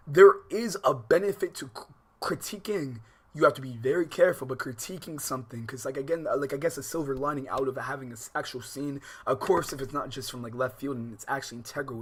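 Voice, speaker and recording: A man's voice: medium pitch, breathy edge. Slangy, exasperated male game streamer. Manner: slangy, profane, exasperated asides. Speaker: livestreamer. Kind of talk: casual game livestream commentary, reading dialogue aloud. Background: guitar game music.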